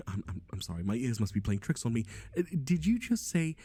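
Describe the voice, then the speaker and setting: A man's voice: mid-range voice, very animated, a little fast, warm tone, breathy edge. A man playing a chatty, playful matchmaker in an ASMR roleplay, with a theatrical, teasing, conversational delivery. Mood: incredulous. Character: chatty, playful male matchmaker for obsessive yandere boyfriends.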